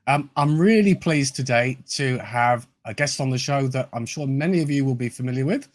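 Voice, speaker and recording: A man hosting a podcast, friendly and measured, with hesitant fillers as he asks questions. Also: medium pitch, no room sound. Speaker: podcast host. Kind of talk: podcast interview.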